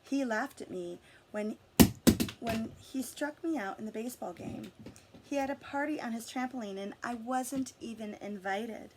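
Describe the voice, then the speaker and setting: A woman with a slightly high voice reads a storybook aloud to children. She stresses key words, stretches some out and speaks directly to her listeners as she goes. The recording is homemade, with faint noise behind her. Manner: expressive storytelling with dramatic emphasis and drawn-out words. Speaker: adult reading a picture book aloud to children. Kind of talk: storybook read-aloud with asides and questions to listeners.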